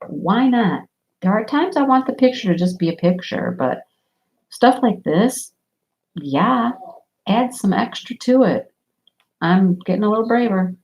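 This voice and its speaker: A woman talks in a rambling, easygoing way while she works on a craft project. Her voice sits a little low, and her nose is stuffy and sniffly from a cold. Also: a little mellow, rough recording. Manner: rambling, chatty, laughs often. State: stuffy nose from a sinus infection. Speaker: diamond painting livestream host. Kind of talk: casual chat while crafting.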